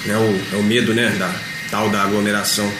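A man's voice: lowish voice, level tone, brisk, over music, natural voice. Man acting a grumbling circus juggler, roomy recording. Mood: fed up. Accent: Brazilian. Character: grumbling, restless male circus juggler stuck without work. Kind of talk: audio drama acting. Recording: roomy, noisy amateur recording.

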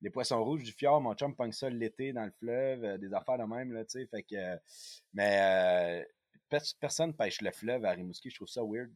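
A man's voice: fairly low pitch, moderate pace. A man with a Quebec French accent hosting a podcast, chatting and interviewing casually in colloquial, slangy language. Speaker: podcast host.

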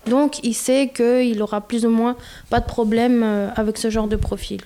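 A young woman from Belgium speaks in a casual conversation, her voice fairly high but mellow and soft. She talks candidly, pausing often with fillers as she gathers her thoughts. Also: fairly even intonation. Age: 20s. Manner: candid, hesitant, with many fillers. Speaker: guest.

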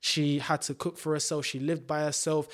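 A man's voice: a little high, level tone, normal speed, a bit bright. A young man from the UK, talking about family and migration in a casual, earnest and reflective way. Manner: earnest, reflective, trails off and restarts. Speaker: podcast guest. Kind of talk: casual chat.

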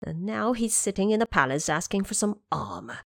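Elderly dragon king played by a woman, nervous, deferential and placating, with a very changeable pitch and dry sound, for fantasy audio drama. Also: big pitch swings, a little fast, gravelly, no room sound. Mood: weary warning. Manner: pleading, hesitant, deferential. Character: anxious old male dragon king, placating and courteous.

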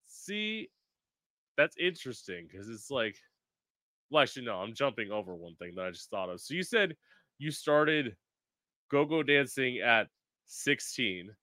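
Host guy w a bright forceful voice, blunt, swears a lot, dry close sound. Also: medium pitch, very animated, unhurried, long pauses, no echo. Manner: frank and brassy, swears freely. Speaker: interview host. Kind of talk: casual interview chat.

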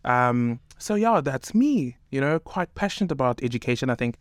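A young man with a South African accent, a high school teacher speaking earnestly as a podcast guest, peppering his points with "you know". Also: big pitch swings, moderate pace, no room sound, clean studio sound. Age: young adult. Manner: earnest, opinionated, many fillers like "you know". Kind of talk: casual podcast conversation.

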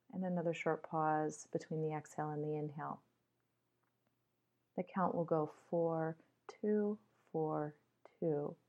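Low slow lady walking you through a breathing meditation, bit muffled lo-fi sound. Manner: measured, instructional, with deliberate pauses. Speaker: meditation guide. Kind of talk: guided meditation.

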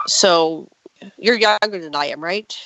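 A woman in her sixties hosting a radio interview, chatty and quick to jump in. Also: fairly low pitch, a bit bright, no room sound, highs cut off. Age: 60s. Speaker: radio host.

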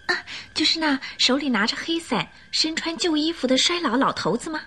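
A woman playing a tender, excitable, curious young lady passenger in an emotive radio drama style. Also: high voice, a little fast, slight background noise. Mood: surprised, curious. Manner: emotive, expressive. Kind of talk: Mandarin radio drama acting.

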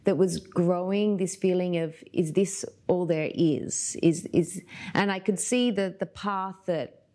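A low-pitched woman from Australia tells her story as a podcast guest, her voice mellow and soft-edged. She speaks in a reflective, unhurried way and laughs easily. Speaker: guest, yoga teacher.

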